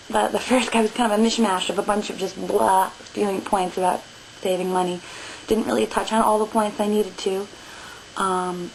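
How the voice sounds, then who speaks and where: Gravelly young woman vlogging casually. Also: mid-range voice, slight background noise, low-quality recording. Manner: rambling with many fillers and restarts. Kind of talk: casual talk to camera. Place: small bedroom in front of a bookshelf.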